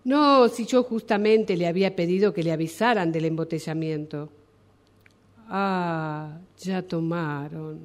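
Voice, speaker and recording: A woman's voice: on the low side, a little slow, close to an everyday voice. A woman playing a polite, anxious job applicant apologising by phone in a radio drama. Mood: flustered, apologetic. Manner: hesitant, apologetic. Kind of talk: radio drama acting, one side of a phone call.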